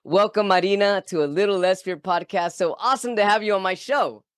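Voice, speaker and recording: A man who hosts a podcast and grew up in Los Angeles, chatting warmly and enthusiastically with his guest. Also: sits high, a little fast, smooth, dry recording, studio quality. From Los Angeles, California. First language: Spanish. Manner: warm, enthusiastic, laughs easily. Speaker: podcast host. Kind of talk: casual interview chat.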